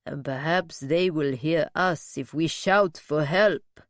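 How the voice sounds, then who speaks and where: A woman narrator voicing a slow-witted, grim male captive who speaks bluntly and laboriously. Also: low voice, no room sound, studio quality, pitched below the performer's own voice. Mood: pondering.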